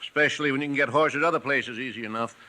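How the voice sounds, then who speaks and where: An honest, troubled rancher in an old radio western. The voice sits at a middle pitch with a bright, forward edge. He talks hesitantly and sounds downcast. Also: fast talker. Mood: worried, downcast. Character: worried, honest horse rancher and father. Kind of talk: 1950s radio western acting. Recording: old 1950s radio recording.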